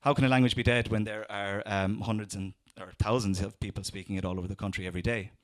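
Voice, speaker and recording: A man's voice: lowish voice, steady tone, quick pace. A young Irish man doing a stand-up comedy set, talking in a sardonic, conversational way with lots of fillers and restarts.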